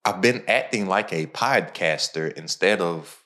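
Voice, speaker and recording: A man hosting a podcast in an energetic, informal, motivational way. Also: a little slow. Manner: energetic, informal, pitches his own program. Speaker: podcast host. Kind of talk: casual motivational podcast talk.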